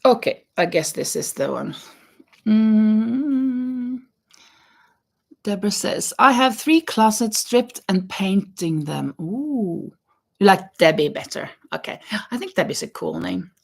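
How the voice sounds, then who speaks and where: A woman from Norway chats slowly and easily on a crochet livestream. She counts her stitches aloud and reads viewers' comments, laughing now and then. She sits at home with her yarn behind her. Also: mid-range voice, sing-song, creaky. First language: Norwegian. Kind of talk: casual live chat while crocheting.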